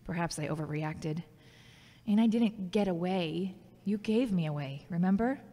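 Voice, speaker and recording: A woman's voice: fairly low pitch, moderate pace, dark tone, lightly acted. A woman playing a touchy, ambitious grown daughter in an audio drama, speaking in a dry, defensive way. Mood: prickly. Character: Charity, touchy, ambitious grown daughter, radio station worker. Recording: long echoey reverb.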